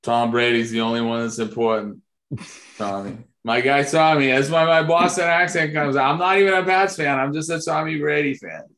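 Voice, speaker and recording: A man's voice: on the high side, steady tone, a little fast, fairly bright tone. A man as a podcast guest, talking loosely and jokingly with lots of filler words. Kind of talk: casual podcast chat.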